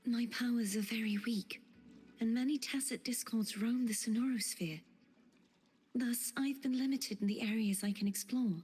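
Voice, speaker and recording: Fantasy game guide: gentle, earnest maiden, high and breathy, formal courtesy. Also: female voice, normal speed. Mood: wistful. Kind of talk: scripted video game voice acting. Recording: music behind.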